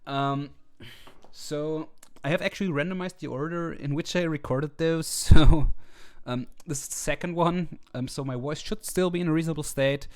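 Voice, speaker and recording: Hesitant man with a slightly hoarse voice. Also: on the high side, steady tone, moderate pace. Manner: hesitant, many fillers and restarts. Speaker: video host ranking teams. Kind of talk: casual commentary. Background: faint background music.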